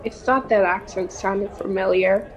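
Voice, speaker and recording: A woman's voice: medium pitch, unhurried, heavy background noise, natural voice. A young female performer playing a blunt, cheeky adventurer girl in a radio-style serial, with a dry, sceptical manner.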